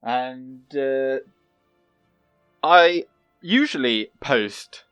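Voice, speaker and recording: A young man giving calm, casual commentary over his own gameplay, soft-spoken and pausing often with fillers. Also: medium pitch, clean tone, no room sound, faint music behind. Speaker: gaming channel host.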